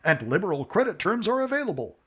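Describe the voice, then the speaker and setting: A man announcing a 1950s-style radio commercial in a brisk, upbeat read. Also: high voice, sing-song, fairly bright tone, husky.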